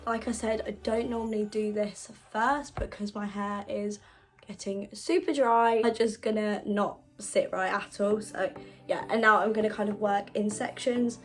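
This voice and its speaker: Young woman, husky from illness, chatty self-deprecating vlog talk, faint music behind. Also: medium pitch. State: husky from being unwell. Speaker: beauty vlogger. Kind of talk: casual hair tutorial. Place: at home.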